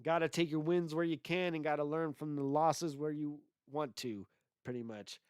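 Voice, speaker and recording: A man's voice: a little high, steady tone, moderate pace, a little mellow, smooth, no room sound, studio quality. A man hosting a solo livestream podcast, talking in a loose, rambling way with a lot of swearing, his voice stuffy from congestion. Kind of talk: casual profane livestream rant.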